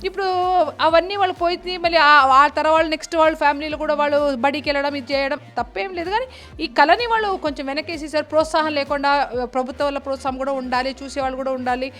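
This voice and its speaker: A woman's voice: high voice, steady tone, fast talker, a bit bright. A middle-aged woman giving an informal interview answer, repeating words and mixing in English terms. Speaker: puppetry artist and teacher.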